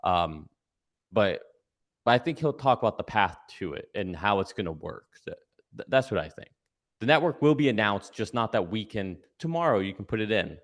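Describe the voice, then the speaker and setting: A man's voice: fairly low pitch, lively intonation, normal speed. A man giving casual conversational analysis as a guest commentator, explaining step by step and checking in with "right?". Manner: explanatory, tags points with "right?".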